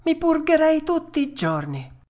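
Woman putting on a high-pitched stubborn little puppet boy. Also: very animated, a little slow, a little mellow. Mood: whiny, stubborn. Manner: wailing, exclamatory, childish protesting. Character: stubborn whiny little wooden puppet boy, dramatic, childish. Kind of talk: storybook character voice.

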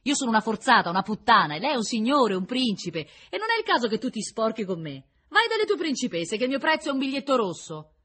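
A woman's voice: a little high, big pitch swings, a little fast, hardly any pauses, sharp tone, husky, voice pushed up, full character voice. A woman voicing a bitter, drunk woman prisoner in a storybook reading, scornful and confrontational. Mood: drunken, mocking anger.

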